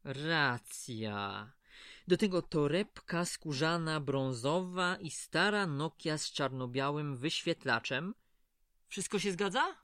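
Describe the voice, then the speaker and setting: A man's voice: fairly high pitch, lively intonation, slow, sharp tone, clear, resonant tone, dry recording, clean studio sound, exaggerated voice. An actor playing a chatty, folksy male prison clerk in an audio drama, familiar and mildly condescending. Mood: businesslike. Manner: familiar, patronising, colloquial.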